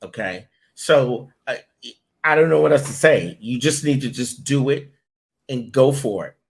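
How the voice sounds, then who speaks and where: A man's voice: mid-range voice, a little slow, gravelly. A man, a voiceover director and coach, giving blunt tough-love advice as an interview guest.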